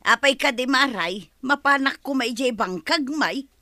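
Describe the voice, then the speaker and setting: A woman's voice: sits high, a little slow, pushed and bright, no room sound. A woman playing a feisty, nosy village gossip in an Ilocano comedy drama.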